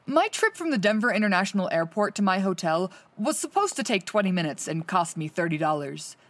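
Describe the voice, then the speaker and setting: A woman's voice: mid-range voice, sing-song, a little fast, a bit bright. A woman playing a betrayed, embittered customer in an absurd comedy monologue, grave and melodramatic. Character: betrayed, embittered woman reading out her grave complaint review.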